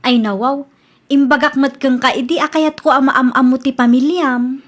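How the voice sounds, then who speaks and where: Young girlfriend played by a woman, high voice, hopeful and easily dismayed, comedic radio drama. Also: steady tone, normal speed. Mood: expectant.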